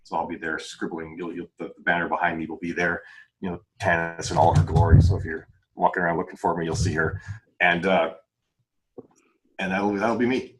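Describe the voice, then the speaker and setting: A man with a very low-pitched voice talks at a rapid clip. He is chatty and informal, using casual slang as he hosts a relaxed podcast conversation. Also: over music, low-quality recording. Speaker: independent author and podcast host. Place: video call group podcast.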